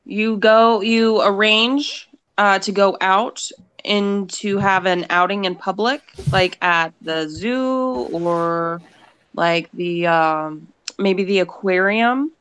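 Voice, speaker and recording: A woman's voice: medium pitch, fairly bright tone, clean tone. A woman from Texas in a casual livestream chat who keeps pressing the others with questions.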